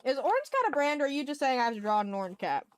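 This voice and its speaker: A woman's voice: high voice, sing-song, rapid-fire, clear, resonant tone. A woman hosting an art livestream, chatting casually and slangily with her viewers into a sensitive microphone. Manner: slangy, informal. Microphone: sensitive ASMR microphone.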